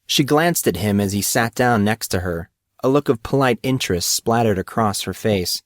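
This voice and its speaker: A man's voice: fairly low pitch, expressive, moderate pace, dry recording. Man narrating fiction in a close, dry recording. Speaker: audiobook narrator. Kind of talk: scripted story narration with character voices.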